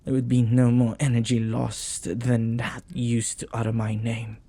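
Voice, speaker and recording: A man voicing a possessive, devoted demon lover in an intimate audio roleplay, husky and breathy, often dropping toward a whisper. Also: medium pitch, completely flat delivery, warm tone. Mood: persuasive, earnest. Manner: slow, deliberate, dramatic pauses. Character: possessive lovestruck male demon, jealous, intense, devoted.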